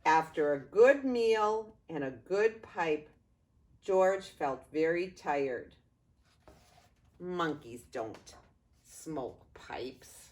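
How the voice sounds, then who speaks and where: An older woman, a grandmother, reading a children's story aloud in an expressive way, drawing out words and pausing. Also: sits low. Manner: expressive, with drawn-out words and pauses.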